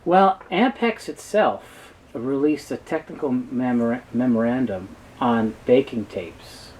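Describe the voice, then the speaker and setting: A man giving a halting, explanatory technical talk as an expert guest in an interview. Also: mid-range voice, a little mellow, slight background noise, rough recording. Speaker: guest expert on reel-to-reel tape.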